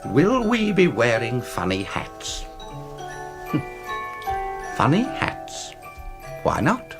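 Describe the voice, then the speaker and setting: An actor playing an irritable, brooding British colonel with a gravelly voice in a radio drama. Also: male voice, mid-range voice, wildly swooping pitch, extremely slow, full character voice. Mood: brooding, sinister. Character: brooding, irritable old colonel with sinister contempt. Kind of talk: slow theatrical radio acting. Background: music and ticking clock.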